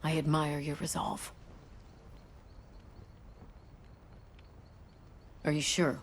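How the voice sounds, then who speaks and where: A woman's voice: deep voice, monotone, normal speed, slight background noise, close to an everyday voice. A woman playing a steadfast, dutiful warrior leader in a video game cutscene. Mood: solemn.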